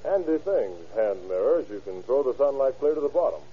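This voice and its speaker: An actor playing a dry, grumbling small-town lawman in an old-time radio crime drama, speaking in a low-key conversational way. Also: sing-song, moderate pace, husky. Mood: dry, grudging. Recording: muffled old radio show recording.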